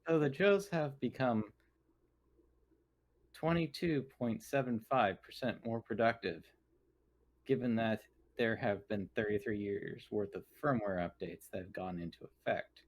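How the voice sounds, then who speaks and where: A man's voice: fairly low pitch, a little slow, poor audio. A man speaking in character in a tabletop roleplaying game, explaining things in a formal, precise, clinical way.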